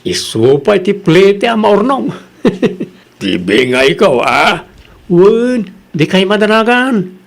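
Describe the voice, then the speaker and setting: A man's voice: sits high, big pitch swings, slow, gravelly, heavily acted. A Filipino man playing a cocky, hot-tempered jeepney driver in an Ilocano comedy radio drama, brash and boastful in manner. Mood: chatty, agreeable. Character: cocky, hot-headed jeepney driver son-in-law, proud and defiant.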